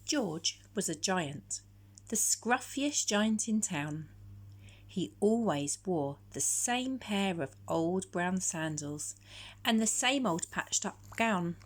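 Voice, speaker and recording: A woman storyteller narrating a children's picture book in a measured, expressive way. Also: fairly low pitch.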